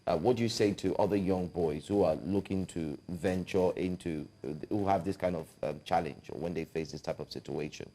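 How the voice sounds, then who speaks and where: A man with a low, dark voice puts questions to the young guests. He restarts and fills his sentences with ums. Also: moderate pace, few pauses. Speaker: interview co-host.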